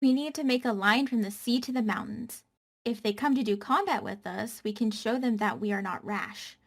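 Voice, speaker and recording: A woman's voice: a little high, moderate pace, vocal fry. A woman reading character lines aloud in an amateur script table read.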